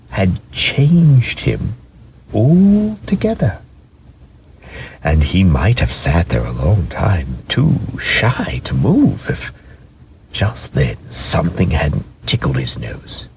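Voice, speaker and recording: Low voiced guy reading a storybook slowly, super swoopy and expressive, slight background noise. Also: creaky. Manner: measured, expressive, dramatic pauses. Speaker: storybook narrator. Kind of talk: dramatic scripted story reading.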